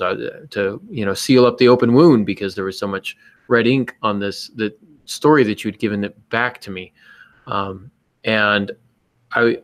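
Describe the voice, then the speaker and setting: A man's voice: lowish voice, fairly bright tone, dry recording. A man co-hosting a podcast, speaking casually but emphatically and bluntly, acting out little exchanges as he gives advice. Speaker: podcast co-host and writer.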